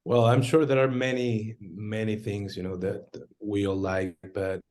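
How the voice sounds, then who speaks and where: Man, low mellow voice, flat delivery, measured friendly guest, video call. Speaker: real estate agent, guest. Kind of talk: casual webinar chat.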